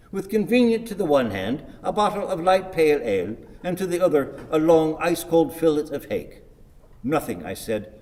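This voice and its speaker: Radio drama: grumpy old husband, ponderous and declamatory, very animated pitch, long reverb. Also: male voice. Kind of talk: theatrical radio play acting.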